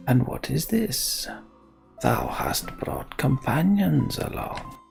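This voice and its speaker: A man voicing a solemn fantasy game character who questions intruders in slow, formal, archaic speech. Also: medium pitch, very animated, vocal fry, darkish tone, soft background music, pitched above the performer's own voice, full character voice. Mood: solemn, wondering.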